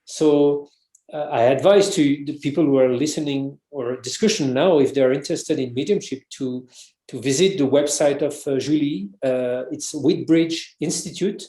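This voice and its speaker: A man's voice: on the high side, moderate pace, warm tone, reverberant, low-quality recording. A man from France speaking English with a French accent as an interview guest, hesitant, with many restarts. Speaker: guest, researcher and author. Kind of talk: casual interview conversation.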